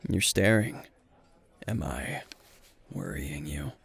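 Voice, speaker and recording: A man playing a gruff, weary, tipsy hero teacher who is dryly flirtatious, in an intimate, deadpan ASMR roleplay with a gravelly voice. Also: exaggerated voice. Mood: flirtatious, soft. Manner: low-key, dry, deadpan, unhurried.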